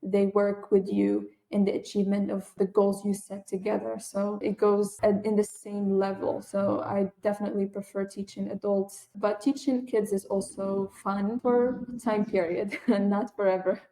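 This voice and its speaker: A woman's voice: medium pitch, little pitch movement, moderate pace, hardly any pauses, warm tone, low-quality recording. A woman whose first language is Arabic, a guest on a podcast, talking in an earnest, emphatic way about teaching English. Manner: earnest, emphatic, often says definitely. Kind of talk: conversational podcast interview.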